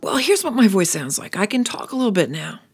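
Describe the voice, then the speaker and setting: A woman teaching children's lessons, hoarse from laryngitis, speaking in a scratchy half-whisper and coaxing the children with questions. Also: medium pitch, very animated, fast talker, faint music behind. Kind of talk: gentle talk to children.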